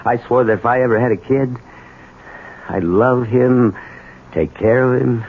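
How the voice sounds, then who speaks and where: An actor playing a troubled, desperate husband in a 1970s radio drama, halting and emotional. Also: male voice, medium pitch, narrow, tinny audio, close to an everyday voice. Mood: anguished. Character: troubled, desperate husband and small business owner, bitter toward his father. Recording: thin, noisy old radio broadcast.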